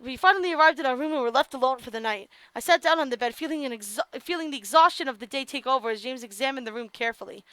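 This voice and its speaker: A woman's voice: high voice, rapid-fire, few pauses, fairly bright tone, no echo, rough recording. A young woman game streamer reading a visual novel aloud and breaking off into chatty asides to her viewers, her voice strained from hours of talking. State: losing her voice.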